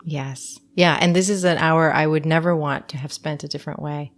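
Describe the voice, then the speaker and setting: A woman's voice: deep voice, steady tone, moderate pace, no room sound. A woman hosting a podcast, affirming her guest and chatting through a short solo segment. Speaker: podcast host.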